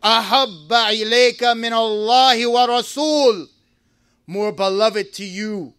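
A man giving a Friday sermon in a mosque, preaching with passion, rising to shouts and reciting Arabic verses. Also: extremely high pitch, rapid-fire, clean tone, dry recording, clean studio sound.

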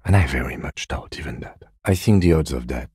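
Very deep, composed male detective. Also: lively intonation, a little mellow, breathy edge, no echo, studio quality, full character voice. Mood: measured, serious. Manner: measured, formal, understated. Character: composed, dry, methodical male police detective. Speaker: video game voice actor. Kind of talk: video game character dialogue.

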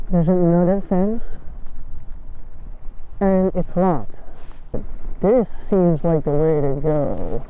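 A young woman gives casual, excitable, blunt commentary with swearing, recorded on a helmet action camera while riding outdoors. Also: fairly low pitch. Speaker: motovlogger. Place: outdoors, riding an electric motorbike on roads and forest trails. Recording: noisy outdoor ride audio.

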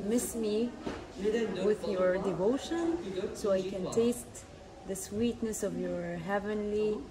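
A young woman whose first language is Arabic renders a text into English piece by piece, pausing to find words. Her voice sits at a middle pitch, with a clear murmur of people around her in a church. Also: low-quality recording. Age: young adult. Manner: halting, searching for English words. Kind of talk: translating a written prayer aloud. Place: large church interior. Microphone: camera held at arm's length. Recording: crowd murmur inside a church.

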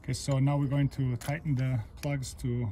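Gravelly man giving hands-on repair instructions. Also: medium pitch, flat delivery, warm tone. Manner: plain, unhurried, pausing mid-sentence while working. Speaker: DIY car repair demonstrator. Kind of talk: casual step-by-step how-to narration while working. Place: outdoors, beside an open car engine bay. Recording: clear background noise outdoors.

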